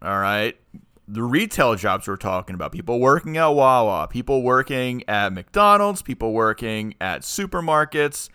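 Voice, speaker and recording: A man co-hosting a podcast in casual chat, animated and ranting as he makes his point. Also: medium pitch, moderate pace, clear, resonant tone, no room sound, clean studio sound. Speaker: podcast co-host.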